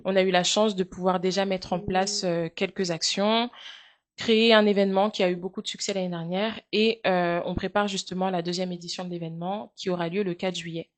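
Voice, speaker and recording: A French woman in her thirties answering interview questions, speaking in a measured, earnest way with frequent hesitant fillers. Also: on the low side, steady tone. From France. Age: 30s. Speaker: guest, charity founder.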